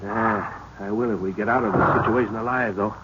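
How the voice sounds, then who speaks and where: A man playing a resolute older professor and father in an old radio adventure serial, speaking gravely and urgently as he explains. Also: on the low side, steady tone, brisk, lightly acted. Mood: grave, explaining. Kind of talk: 1930s radio serial drama acting. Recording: muffled, noisy old radio recording.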